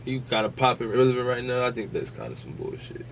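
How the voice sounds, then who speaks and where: A man's voice: mid-range voice, expressive, quick pace. Dude co-hosting a podcast, slangy, argues back like with his boys, bit of background noise. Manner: protesting, informal, peppers talk with "bro" and "fam". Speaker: podcast co-host. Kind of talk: casual slangy debate and banter.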